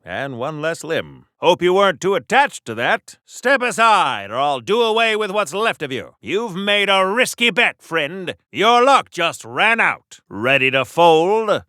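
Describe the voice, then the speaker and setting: A man's voice: sits high, very animated, measured pace, few pauses, bright, forceful tone, dry recording, studio quality, full character voice. A man playing a pompous, chipper 1940s patriotic superhero in a video game, declaiming old-fashioned wholesome patter like a radio serial hero. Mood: triumphant, taunting.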